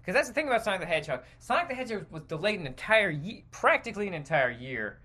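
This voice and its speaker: A man's voice: high voice, big pitch swings, fast, bright, forceful tone. A man hosting a podcast, delivering an exasperated, sarcastic, stream-of-consciousness rant with casual swearing. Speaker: podcast host.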